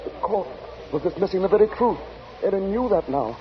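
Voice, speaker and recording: An actor narrating a suspense tale in a grave, intense 1940s radio style. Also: male voice, high voice, expressive, brisk. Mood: grave, suspenseful. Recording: muffled, hissy 1940 radio broadcast.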